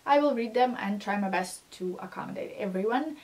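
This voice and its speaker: A woman's voice: mid-range voice, a little fast. Young woman, non-native English speaker, earnest and encouraging, gesturing as she talks, small room, camera in front. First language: not English. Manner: animated, gestures with both hands while talking; earnest, encouraging, many fillers. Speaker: vlogger. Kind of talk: casual talking-head advice.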